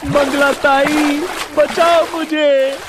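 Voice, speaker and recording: Man playing a sly, greedy fisherman, very high voice, slow deliberate delivery, faint hiss. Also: little pitch movement, full character voice. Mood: panicked. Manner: taunting, then panicky cries for help. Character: greedy, mocking male village fisherman. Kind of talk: cartoon story voice acting.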